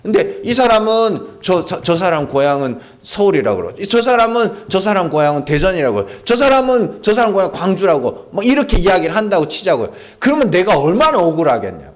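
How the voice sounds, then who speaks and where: A man's voice: high-pitched, a little fast, few pauses. A male pastor preaching a sermon at a Friday prayer meeting, speaking conversationally and emphatically, often firing rhetorical questions at the congregation. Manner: emphatic and animated. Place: church sanctuary.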